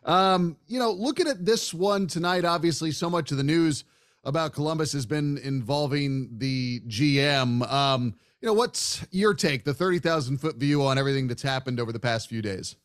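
A man's voice: on the high side, moderate pace. Man hosting a hockey talk show. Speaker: sports show host. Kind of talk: conversational hockey commentary and interviewing.

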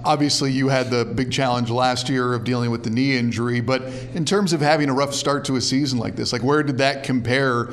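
A man's voice: medium pitch, steady tone, a little fast, few pauses, a little hiss or hum. A man hosting a sports show, interviewing in a conversational, enthusiastic way.

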